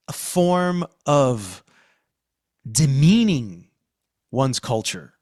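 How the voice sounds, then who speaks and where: A man hosting a podcast, talking through analysis conversationally with deliberate, halting phrasing. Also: fairly high pitch, no room sound, clean studio sound. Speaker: podcast host.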